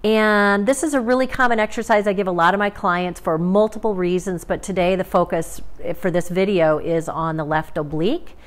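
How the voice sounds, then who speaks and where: A woman in her forties teaches simple core exercises in a small home studio. She speaks in a calm, instructive way and gives steady breathing cues. A lapel mic picks up her voice up close. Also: medium pitch, moderate pace, few pauses. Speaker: movement therapist. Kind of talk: exercise demonstration.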